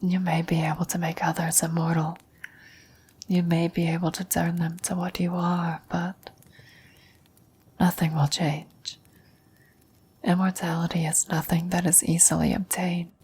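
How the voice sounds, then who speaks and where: A woman voicing a vampire's dying former lover in an ASMR roleplay, a wistful, knowing woman who whispers in a breathy, solemn, deliberate way. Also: fairly low pitch, flat delivery, darkish tone, heavily acted. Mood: grave warning.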